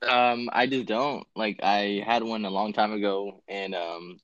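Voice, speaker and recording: A man's voice: on the low side, steady tone, a little slow, fairly bright tone, no echo. A twenty-one-year-old man chatting casually on a call, easygoing and a bit hesitant, with lots of "like" and "um". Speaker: guest, shoe buyer. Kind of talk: casual chat. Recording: dry, dull lo-fi call audio.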